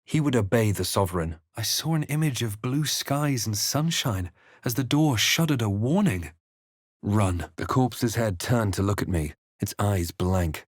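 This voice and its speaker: A man playing a composed, wry adventurer hero in a video game, telling events in a measured, literary way. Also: fairly low pitch, expressive, no echo, clean studio sound. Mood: composed, narrating. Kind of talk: scripted video game narration and battle lines.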